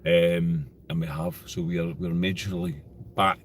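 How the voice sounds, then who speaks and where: A Scottish man co-hosting a casual football podcast, relaxed and plainspoken with hesitant ums. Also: sits low, a little slow, slight background noise. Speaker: podcast co-host.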